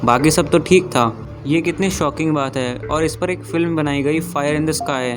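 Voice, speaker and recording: A man's voice: fairly high pitch, unhurried. Man narrating informally over music. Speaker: YouTube narrator. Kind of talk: informal storytelling narration of alien abduction cases. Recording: music behind the voice. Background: background music.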